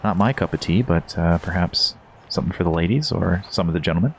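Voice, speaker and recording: A man's voice: low-pitched, quick pace, a little hiss or hum. A man co-hosting a game stream, giving playful mock-genteel commentary and casual banter.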